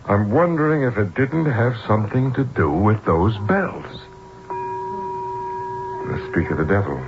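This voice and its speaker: Low-voiced American businessman under strain. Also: animated, measured pace. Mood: edgy, strained. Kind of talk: old-time radio drama acting. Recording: muffled, noisy old radio broadcast.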